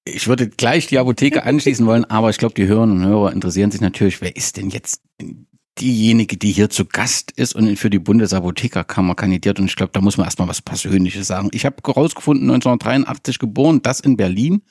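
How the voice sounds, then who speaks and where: Man, podcast host, conversational questions, gravelly, dry close sound. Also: fairly low pitch, fast talker, darkish tone, no room sound. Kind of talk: podcast interview.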